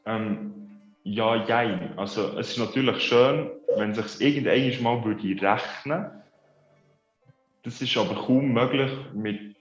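A man with a Swiss German accent, a guest in a casual interview who thinks aloud in a reflective, hesitant way. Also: on the low side. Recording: roomy, dull lo-fi, faint music.

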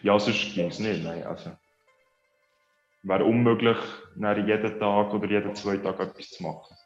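A man's voice: on the low side, normal speed. A man with a Swiss German accent, a guest in a casual interview who thinks aloud in a reflective, hesitant way. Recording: roomy, dull lo-fi, faint music.

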